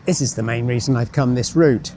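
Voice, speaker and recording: A man's voice: medium pitch, a little fast, mellow. A man giving casual travel commentary as he walks, thinking aloud in a rambling, wry, self-deprecating way. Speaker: travel vlogger. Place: outdoors, walking by a road and canal. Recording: outdoor street noise with passing vehicles. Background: street ambience, vehicles.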